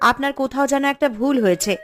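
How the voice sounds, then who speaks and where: A woman playing a responsible, earnest eldest sister in a cartoon, speaking in a measured, instructive way. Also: high-pitched, fairly bright tone, faint music behind. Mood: protesting. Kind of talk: Bengali cartoon story acting.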